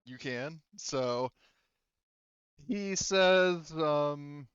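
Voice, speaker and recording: Guy game mastering, casual and sweary, smooth clear voice, dry slightly dull mic. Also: fairly high pitch, very slow, a bit bright, no echo. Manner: informal, sweary. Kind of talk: casual tabletop game narration and chat.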